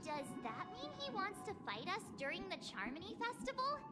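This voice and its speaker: A woman's voice: extremely high pitch, very animated, normal speed. A woman voicing a perky, curious young girl companion in a video game, with game music behind her. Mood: puzzled. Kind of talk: video game story dialogue.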